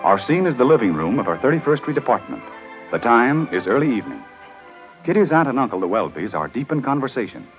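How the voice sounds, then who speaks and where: A man's voice: medium pitch, very animated, quick pace. A man narrating a 1930s radio soap opera from a script, in a formal, dramatic way. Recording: dull 1930s radio recording. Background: faint music.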